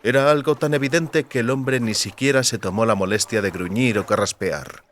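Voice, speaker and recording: A man's voice: medium pitch, moderate pace, a bit bright, a little hiss or hum. A man reading scripted literary fantasy fiction as an audiobook narrator. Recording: clear water and boat ambience behind. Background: lapping water and rowing boat sounds.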